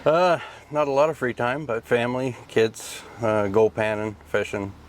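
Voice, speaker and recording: A man's voice: mid-range voice, steady tone, moderate pace. A middle-aged man from Nova Scotia, a guest in a casual interview, who gives short, clipped answers. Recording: faint background noise.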